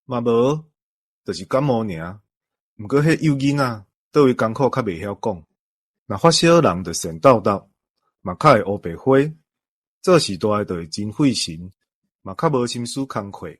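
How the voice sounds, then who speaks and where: A man's voice: fairly low pitch, expressive, moderate pace, dry recording. A man with a Taiwanese accent hosting a story programme in scripted, conversational chat. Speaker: host.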